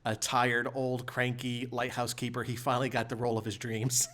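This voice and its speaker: A man's voice: medium pitch, monotone, normal speed, a bit bright. A man co-hosting a podcast, chatting and commenting on news in a blunt, opinionated, casually sweary way. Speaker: podcast co-host.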